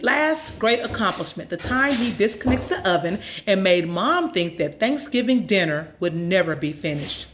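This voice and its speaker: A woman narrates a children's story in a medium-pitched voice. She reads as a storyteller, phrasing each sentence with care and leaning on key words for dramatic emphasis. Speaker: storyteller reading a children's book aloud. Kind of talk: expressive scripted story narration.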